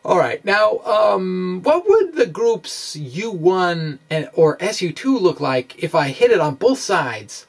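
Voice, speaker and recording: A man giving an informal science explanation over animations, speaking haltingly and correcting himself as he goes. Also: on the high side, gravelly. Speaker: science video blogger.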